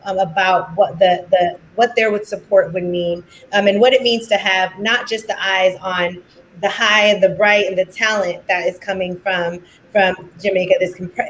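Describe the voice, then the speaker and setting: A woman moderating a discussion, speaking haltingly with many fillers and restarts. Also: mid-range voice, a little hiss or hum, low-quality recording. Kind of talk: conversational discussion.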